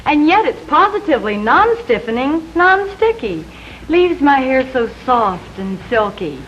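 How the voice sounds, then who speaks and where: A woman's voice: high voice, big pitch swings, measured pace, fairly bright tone. A woman delivering a scripted 1950s television advertising testimonial as a commercial spokeswoman. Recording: muffled, hissy 1950s television soundtrack.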